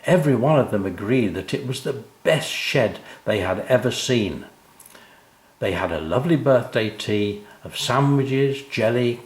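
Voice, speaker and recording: An older man narrating a children's story, unhurried and expressive, speaking directly to young listeners. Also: medium pitch, reverberant, rough recording.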